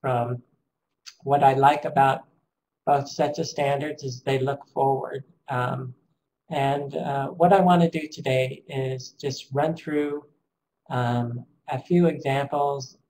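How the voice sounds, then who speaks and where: A man with a raspy, strained voice presenting an education webinar over a call, pausing often with ums. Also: mid-range voice, steady tone, darkish tone, slight background noise, rough recording. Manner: hesitant, many ums, measured.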